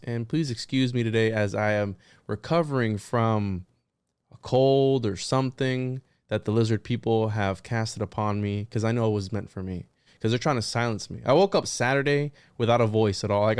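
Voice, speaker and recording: Raspy man hosting a podcast. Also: on the low side, darkish tone, no echo. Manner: joking, conspiratorial banter. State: raspy from a cold. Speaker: podcast host. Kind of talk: casual podcast chat.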